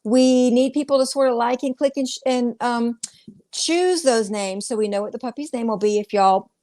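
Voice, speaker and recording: High-voiced woman, informal co-host. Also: moderate pace, mellow. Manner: informal, chatty. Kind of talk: casual live chat show.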